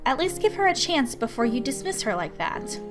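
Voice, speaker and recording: A woman's voice: sits high, sing-song, brisk. A woman voicing an exasperated, dutiful guardian in a visual novel, dry and scolding. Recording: music behind the voice.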